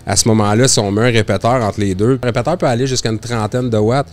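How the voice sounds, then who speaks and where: A man's voice: medium pitch, fairly even intonation, quick pace. A man with a Québécois accent, a guest explaining radio communications at length on a podcast, with many restarts and fillers. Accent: Québécois French. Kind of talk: casual explanatory podcast talk.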